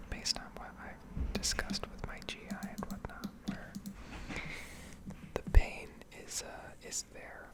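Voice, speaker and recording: A woman speaking in a soft, breathy whisper close to the microphone, rambling and explaining in a hesitant ASMR style. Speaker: ASMR video creator.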